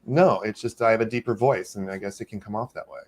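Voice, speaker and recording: A man's voice: on the low side, quick pace. A male nurse on a panel, speaking earnestly about being assertive at work. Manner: earnest, fillers. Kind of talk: casual panel chat.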